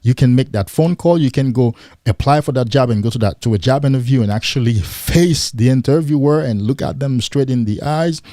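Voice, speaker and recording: A man hosting a motivational livestream, speaking emphatically with rhetorical questions and now and then mimicking excited men in a higher voice. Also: fairly quick, hardly any pauses, dry recording, clean studio sound. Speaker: livestream host.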